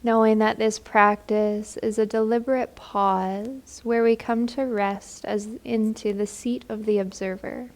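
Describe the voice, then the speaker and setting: A woman leading a guided meditation in a gentle, soothing, unhurried manner. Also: on the high side, fairly even intonation.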